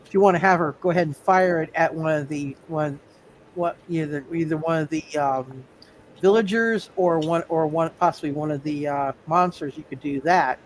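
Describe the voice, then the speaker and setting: A man narrating a tabletop roleplaying game as game master, speaking haltingly and often repeating his words. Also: medium pitch, slight background noise, rough recording.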